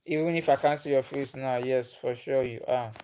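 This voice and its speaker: A man's voice: mid-range voice, fairly even intonation, normal speed, mellow, clear, resonant tone, poor audio. Man chatting on a livestream. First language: Igbo. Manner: patient, repeats himself, chuckles often. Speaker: livestream host. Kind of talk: casual livestream chat reading comments.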